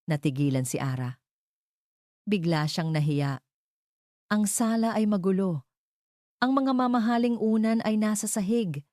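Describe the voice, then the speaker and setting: Low, mellow woman storyteller. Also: dry recording, studio quality. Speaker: story narrator. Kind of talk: dramatic scripted storytelling narration.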